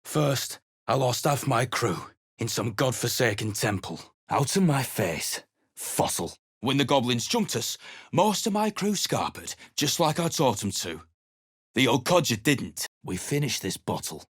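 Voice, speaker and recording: Husky, surly British adventurer. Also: male voice, mid-range voice, big pitch swings, a little slow, no room sound, studio quality. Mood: bitter, surly. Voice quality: husky, rough. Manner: curt, dismissive. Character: gruff, bitter adventurer man, surly and battle-worn. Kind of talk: video game voice acting.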